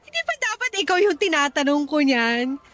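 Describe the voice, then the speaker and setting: A woman's voice: high voice, sing-song, brisk, a bit bright. A young woman acting a hurt, angry, sarcastic girlfriend in a radio drama. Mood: sarcastic, accusing. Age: young adult. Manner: sarcastic, confrontational, emotional. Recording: music behind.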